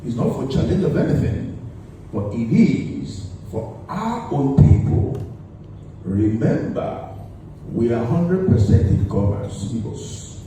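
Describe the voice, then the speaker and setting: Older-ish guy, deep with a lot of swing in his voice, far from the mic, faint hiss. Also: sing-song, dark tone. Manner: measured, declaring and listing points one by one. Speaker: community leader. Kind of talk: formal interview answers. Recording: echoey room, voice far from the mic, faint noise.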